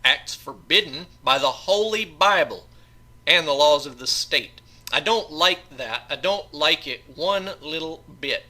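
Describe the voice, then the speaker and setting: A man voicing a pious, intimidating small-town sheriff in an audiobook, stern and deliberate in manner. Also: fairly high pitch, animated, sharp tone, pitched above the performer's own voice, heavily acted.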